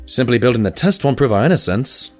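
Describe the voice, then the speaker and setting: A man's voice: mid-range voice, expressive, a little fast, faint music behind, close to an everyday voice. A man playing a long-suffering cartoon pony sidekick to a detective, dry, skeptical and grumbling. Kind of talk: scripted audio drama acting.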